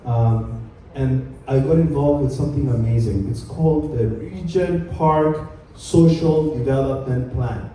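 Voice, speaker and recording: A man's voice: mid-range voice, measured pace, dark tone, a little hiss or hum. A man from Kenya speaking as a panelist at a community summit, earnest and emphatic, with many fillers and restarts. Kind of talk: public speech at a summit panel. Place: large conference hall. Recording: echoey hall, voice from far off.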